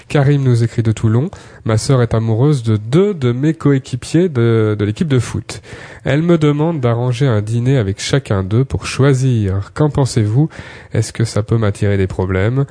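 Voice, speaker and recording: A man's voice: mid-range voice, mellow, no echo. A man hosting a radio talk show, reading out listeners' questions and giving out contact details. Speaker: radio host.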